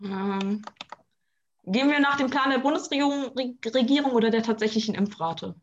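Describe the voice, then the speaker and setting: A teenage woman talking from her apartment in a casual chat, with dry, deadpan humor. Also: fairly high pitch, fairly quick, muffled recording, a little hiss or hum. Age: teens.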